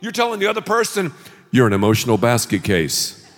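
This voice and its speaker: A middle-aged man preaching a sermon as pastor, telling stories with animated delivery and shouting out acted-out lines. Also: mid-range voice, fairly quick, in a large hall.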